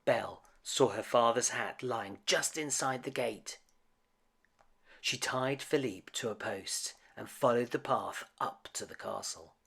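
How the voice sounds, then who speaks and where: A man reading a picture book aloud to children as an expressive, dramatic storyteller. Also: medium pitch, a little slow, vocal fry, husky. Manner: dramatic, with emphatic swells and hushed drops.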